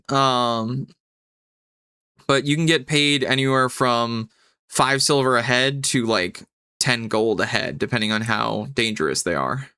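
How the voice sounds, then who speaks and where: Clear, bright-voiced man as game master. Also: medium pitch, no room sound, clean studio sound. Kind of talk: improvised tabletop game narration and rulings.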